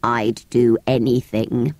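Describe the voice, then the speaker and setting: A woman voicing a grumpy, weary furry wish-granting creature in a storybook, low and strained, with a testy, put-upon manner. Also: animated, slow, darkish tone, no echo, heavily acted. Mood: tearful, self-pitying. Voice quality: low, strained, tearful at times. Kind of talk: storybook character voice.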